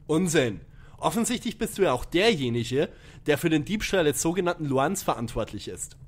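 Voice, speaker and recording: A man's voice: fairly high pitch, big pitch swings, normal speed. A man playing an eager young detective, earnest and formally articulate, in a parody audio drama. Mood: probing.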